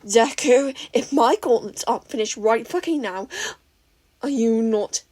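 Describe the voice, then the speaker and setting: A woman voicing a hot-tempered teenage boy who yells curtly and aggressively. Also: a little high, a little slow. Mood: yelling. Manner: yelling, curt and aggressive.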